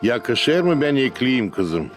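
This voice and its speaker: Animated series dad: wise, measured storyteller, mid-range voice, educational tone. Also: male voice, medium pitch, faint music behind. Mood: instructive. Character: wise, measured father telling history to children. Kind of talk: cartoon storytelling, educational narration.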